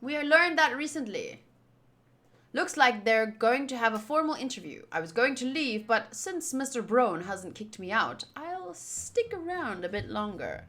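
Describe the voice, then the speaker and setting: Animated, bright-voiced woman streaming a game. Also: a little high, a little slow. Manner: animated, playful, exclaiming. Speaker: streamer playing a visual novel. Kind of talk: reading game text aloud with casual commentary. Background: game music.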